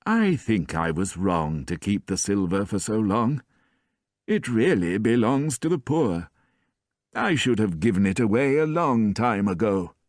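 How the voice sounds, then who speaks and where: A man voicing a gentle, forgiving old priest who speaks softly and warmly. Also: medium pitch, huge pitch swings, dry recording, clean studio sound, voice pushed up, exaggerated voice. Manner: soft, warm, unhurried.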